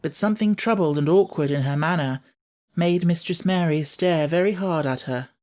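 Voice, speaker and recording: A man's voice: on the high side, moderate pace, a little mellow. A man reading a children's novel aloud as scripted audiobook narration.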